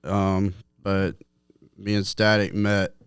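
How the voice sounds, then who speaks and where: A man's voice: low-pitched, completely flat delivery, takes it slowly, clean tone, no room sound, clean studio sound. A man from Atlanta, a guest musician, telling stories in a joking, rambling way full of fillers. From Atlanta, Georgia, USA. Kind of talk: casual interview chat.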